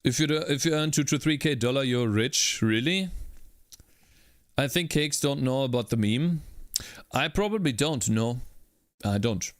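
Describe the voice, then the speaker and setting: A man's voice: medium pitch, animated, a little slow, pauses often, dry recording, clean studio sound. A man streaming his coding work, talking through his steps and greeting chat viewers by name. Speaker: livestreaming game developer.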